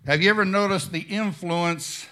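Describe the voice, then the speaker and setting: A man with a slightly high, bright, forceful voice teaches a church class. He reads with slow, dramatic pauses, then turns to the audience and peppers them with questions. He speaks into a handheld microphone. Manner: asks the audience questions, admits he has a loud voice. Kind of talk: sermon with dramatic reading.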